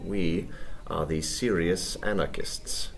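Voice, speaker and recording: An actor playing a fervent, gleeful anarchist poet in a radio play, with a theatrical, declamatory manner. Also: male voice, sits low, takes it slowly, gravelly, full character voice. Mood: sly, amused. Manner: theatrical, declamatory, laughing zeal.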